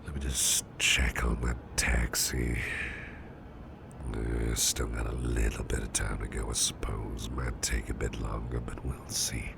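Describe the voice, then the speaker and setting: Man playing a very deep, gravelly, protective boss. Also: little pitch movement, noisy background, full character voice. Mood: soothing, tender. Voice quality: very deep, breathy near-whisper, gravelly. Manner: soft, unhurried, soothing, gently teasing, repeats phrases. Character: gentle, protective male boss soothing an exhausted employee. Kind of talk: ASMR roleplay, close personal attention.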